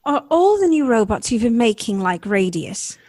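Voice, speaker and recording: A woman's voice: on the high side, big pitch swings, moderate pace, darkish tone, no room sound, studio quality. A woman playing an earnest, compassionate and troubled wife in a radio drama, speaking formally and questioningly.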